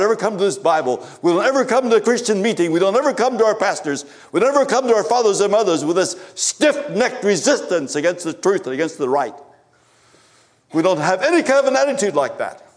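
A man preaching a sermon at a church service, swinging from emphatic exhortation to low, stammered asides. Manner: rises to emphatic exhortation, then drops low, with stammered repetitions.

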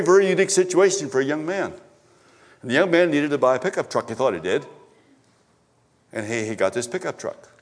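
A man preaching a sermon at a church service, swinging from emphatic exhortation to low, stammered asides. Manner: rises to emphatic exhortation, then drops low, with stammered repetitions.

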